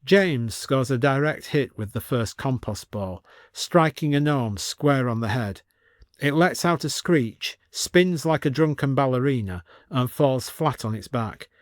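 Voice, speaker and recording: A man's voice: medium pitch, expressive, unhurried, no room sound, clean studio sound. A man reading scripted comic fiction as an audiobook narrator.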